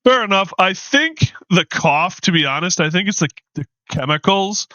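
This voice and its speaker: A tired man streaming a game, chatting casually in a low-key, rambling way, his voice slightly hoarse. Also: expressive, normal speed, sharp tone, no room sound. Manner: low-key, rambling with frequent ums and uhs. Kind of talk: casual live-stream chat.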